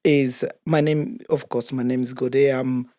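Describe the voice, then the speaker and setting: A man's voice: medium pitch, little pitch movement, dark tone, no room sound. Earnest man from Congo, dry sound. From Democratic Republic of the Congo. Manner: earnest, unhurried storytelling with fillers. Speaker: guest, soccer coach and organizer. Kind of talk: casual interview conversation.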